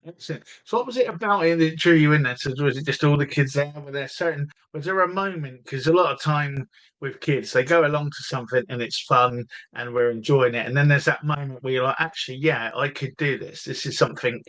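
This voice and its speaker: A man's voice: mid-range voice, a little fast, a bit bright, rough recording. A man hosting a podcast, asking questions in a rambling way and rephrasing them as he goes. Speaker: podcast host. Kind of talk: casual interview questions.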